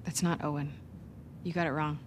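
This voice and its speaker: Woman playing headstrong, lowish-voiced young soldier. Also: little pitch movement, moderate pace, background noise, lightly acted. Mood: insistent, defiant. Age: young adult. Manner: direct, insistent. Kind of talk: naturalistic game cutscene acting.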